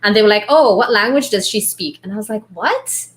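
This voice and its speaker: A woman from Trinidad with a softened Trinidadian accent talks in a relaxed, friendly chat. She tells stories with bursts of laughter and little acted quotes, and her pitch sits in the middle for a woman. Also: brisk. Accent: light Trinidadian, trained toward neutral. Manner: animated storyteller, laughs often, acts out quotes. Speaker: book club host. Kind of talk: casual chat.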